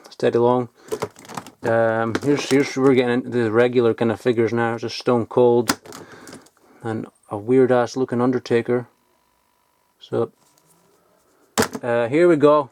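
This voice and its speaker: Excitable young man rambling nostalgically. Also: fairly high pitch, a little hiss or hum. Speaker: toy collector vlogger. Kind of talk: casual unboxing chat.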